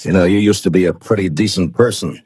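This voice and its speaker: An actor playing a tough, unflinching Old West marshal in a 1950s radio western, with a dry, blunt, sardonic manner. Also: male voice, low voice, fast talker, darkish tone, husky, no echo. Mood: dry, firm.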